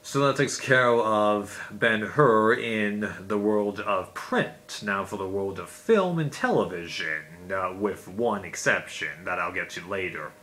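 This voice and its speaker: A man's voice: lowish voice, expressive, takes it slowly, few pauses, sharp tone. A man giving a casual, unscripted explainer as a video host, in a rambling, conversational way.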